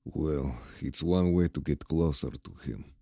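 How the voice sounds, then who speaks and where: An actor playing a protective, low-key male companion in an audio drama, hushed and concerned. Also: a little slow, gravelly, no room sound, studio quality, heavily acted. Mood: calculating.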